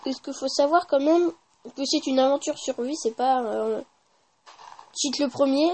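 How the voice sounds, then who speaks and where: High-pitched casual gaming YouTuber. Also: a little slow, darkish tone. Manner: informal, slangy, swearing. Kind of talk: casual gameplay commentary.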